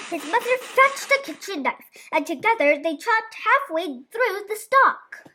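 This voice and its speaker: A woman reading a children's storybook aloud, with expressive, animated narration. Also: extremely high pitch, background noise. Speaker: children's book reader.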